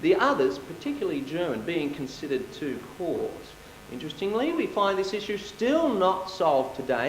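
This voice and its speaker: A man's voice: high voice, a little hiss or hum. A man narrating a theatrical prologue on stage in a formal, lecturing way, with hesitant fillers between sentences. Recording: roomy live stage recording.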